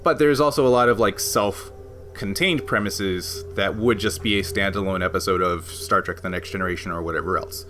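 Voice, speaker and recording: A man's voice: low voice, moderate pace, pushed and bright, faint music behind. A man hosting a tabletop game podcast, explaining a rules system at length in a casual way, with frequent laughs. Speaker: game master and podcast host.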